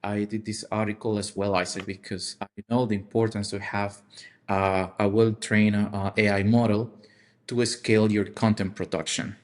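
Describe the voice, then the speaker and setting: A man who is a non-native English speaker, discussing a topic casually on a podcast and haltingly searching for words. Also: fairly low pitch, little pitch movement. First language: not English. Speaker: podcast co-host or guest.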